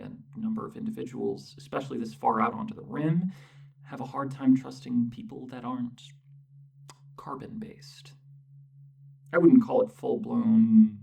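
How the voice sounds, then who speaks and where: A smug machine intelligence captain acted by a performer lords it over a subordinate in soft ASMR roleplay. The voice is creaky and breathy, sitting a little away from the mic, with clipped orders and deliberate, superior pauses. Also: medium pitch, far from the mic, a little hiss or hum. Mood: grudging, superior. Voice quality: breathy, often dropping to a whisper. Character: condescending newborn AI mech co-pilot captain, bossy, smug.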